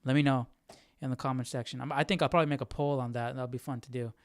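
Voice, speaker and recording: A man's voice: medium pitch, fairly even intonation, fast talker, no room sound, clean studio sound. A man hosting a live study stream, talking casually and thinking aloud in a hesitant, musing way as he searches for words.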